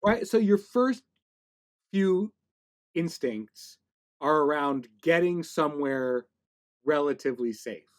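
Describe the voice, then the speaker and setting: A man with a slightly high voice hosts a relaxed, conversational interview. He puts questions and comments informally, with a wry, dry sense of humour. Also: slow, pauses often. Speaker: podcast interviewer.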